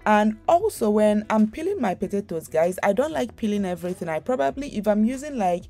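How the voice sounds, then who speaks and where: A woman's voice: medium pitch, big pitch swings, brisk, warm tone. A young woman hosting a home cooking channel, talking viewers through a recipe in a chatty, rambling way with lots of fillers and restarts. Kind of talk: casual recipe voice-over.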